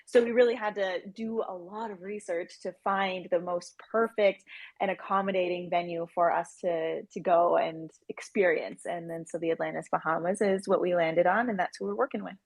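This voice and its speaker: A woman's voice: on the low side, fairly even intonation, normal speed, no room sound. A woman speaking as an interview guest, explaining things in a casual, promotional way with plenty of "like" in her chatty delivery. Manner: chatty, explanatory, peppers speech with "like". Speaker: interview guest, project team member.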